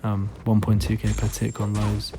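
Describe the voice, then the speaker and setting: A man with a hoarse, fading voice, giving tired, laid-back gameplay commentary full of ums. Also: on the low side, completely flat delivery, moderate pace, loud music behind the voice. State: hoarse, losing his voice; tired, yawning late at night. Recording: noisy recording with clicks.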